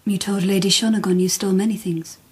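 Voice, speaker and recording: An actress playing a composed, courtly Japanese empress in a radio drama, with a formal, precise manner. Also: female voice, mid-range voice, steady tone, fast, husky. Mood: probing.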